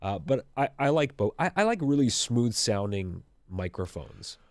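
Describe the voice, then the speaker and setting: A man co-hosting a podcast, talking in a measured, thoughtful way about microphones. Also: on the low side, sing-song, dry recording, studio quality. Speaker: podcast co-host. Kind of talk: casual technical podcast chat.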